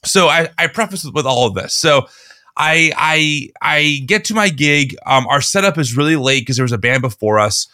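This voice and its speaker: A man hosting a podcast, talking casually in a slightly hoarse voice and telling a story with relaxed restarts. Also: on the high side, moderate pace, dry recording, clean studio sound. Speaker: podcast host. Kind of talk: casual chat.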